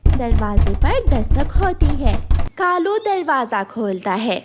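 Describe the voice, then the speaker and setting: A woman's voice: sits high, big pitch swings, slow, over music. A woman reading a scripted children's cartoon story as narrator.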